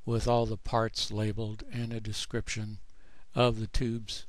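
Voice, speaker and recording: A man narrating a screen tutorial as the author, explaining plainly in a measured way. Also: lowish voice, monotone, no room sound. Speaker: author presenting his own book.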